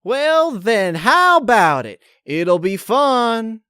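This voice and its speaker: A man's voice: sits high, very animated, slow pace, sharp tone, clear, resonant tone, no echo, studio quality, voice pushed up, exaggerated voice. A man voicing a young female farm pony with forced, overdone cheerful enthusiasm.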